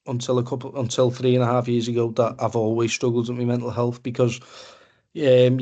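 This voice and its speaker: A man's voice: medium pitch, a little mellow. A young man with a Liverpool Scouse accent giving an informal, plain-spoken personal talk in a conversational way. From Liverpool area, England. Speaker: men's mental health support group speaker. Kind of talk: informal personal testimony presentation. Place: online webinar video call.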